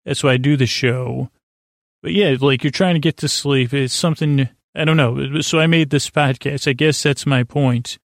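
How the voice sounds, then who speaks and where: Creaky, halting man rambling. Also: medium pitch, no room sound, clean studio sound. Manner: halting, stammering, meandering with repeated false starts. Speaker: podcast host. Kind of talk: rambling bedtime podcast monologue.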